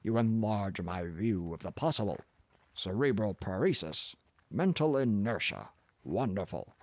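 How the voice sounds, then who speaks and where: A man's voice: on the low side, big pitch swings, unhurried, a little mellow, dry recording, heavily acted. A man reading an audiobook, voicing a pompous, contemptuous older male professor in a blustering, condescending manner. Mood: lecturing. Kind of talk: audiobook character voice.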